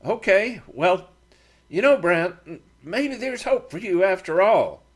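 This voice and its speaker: Fussy frontier sidekick, higher register, quick to defend himself; a male narrator voices him. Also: lively intonation, heavily acted. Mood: defensive. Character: fussy talkative frontier man, Brent's partner.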